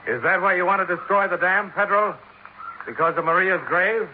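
An actor playing a tough, cool-headed American insurance investigator, terse and dry, in 1950s radio detective style. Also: male voice, sits high, normal speed, slight background noise, close to an everyday voice. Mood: urgent. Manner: terse, hard-boiled, dry. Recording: tinny narrow-band old radio recording.

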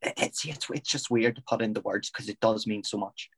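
A man's voice: lowish voice, brisk, slightly rough. A man and wrestler talking earnestly and from the heart in a casual chat. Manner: earnest, heartfelt, with teasing jabs. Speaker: wrestler and podcast guest.

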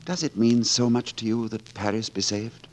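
An actor playing a gallant, witty poet-rogue turned lord constable, with courtly, theatrical delivery in 1940s radio drama style. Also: male voice, lowish voice, normal speed, darkish tone, a little hiss or hum, natural voice. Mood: wistful. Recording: vintage 1940s radio broadcast, orchestral music.

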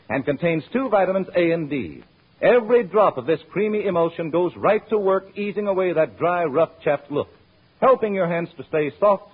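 Muffled old-time radio pitchman, high and animated. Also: breathy edge. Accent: American. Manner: polished, emphatic salesmanship. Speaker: radio announcer. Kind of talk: 1930s-40s radio advertising pitch. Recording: muffled, narrow-band old radio recording.

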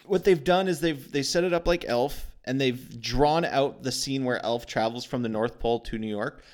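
A man's voice: mid-range voice, normal speed. A man co-hosting a casual film review podcast, incredulous and asking rhetorical questions. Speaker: podcast co-host.

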